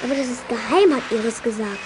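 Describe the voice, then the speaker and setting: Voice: medium pitch, fast talker, slight background noise, lightly acted. A performer playing an eager, chatty ten-year-old boy in a children's radio play. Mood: earnest, chatty. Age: child character aged ten. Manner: artless, blurting, hesitant when recalling.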